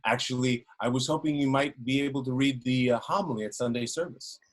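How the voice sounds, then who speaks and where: A man's voice: medium pitch, fairly quick, close to an everyday voice. Male actor as village dignitary. Pompous, genial, formal, middle register. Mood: jovial. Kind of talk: film script table read.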